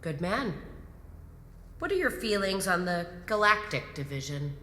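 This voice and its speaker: An actress playing a composed, commanding imperial grand marshal in a sci-fi audio drama, measured and probing. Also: female voice, lowish voice, big pitch swings, bright, forceful tone, full character voice. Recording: echoey hall reverb, music underneath.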